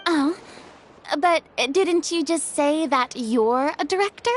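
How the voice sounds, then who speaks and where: A woman playing a proud but friendly young former celebrity in a video game, with an expressive, slightly haughty manner. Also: sits high, slow, a little hiss or hum. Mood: casual, modest. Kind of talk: English video game voice acting. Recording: music behind the voice.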